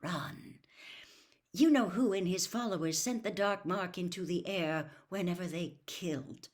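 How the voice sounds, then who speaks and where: A woman's voice: on the low side, measured pace, natural voice. A woman voicing a grave, weary middle-aged father explaining things in a storybook reading.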